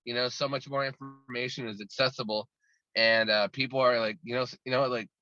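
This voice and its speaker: A man's voice: medium pitch, steady tone, brisk, pushed and bright, lo-fi sound. A man talking casually as an interview guest, rambling and restarting his sentences often. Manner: talkative and rambling, many restarts. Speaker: guest musician.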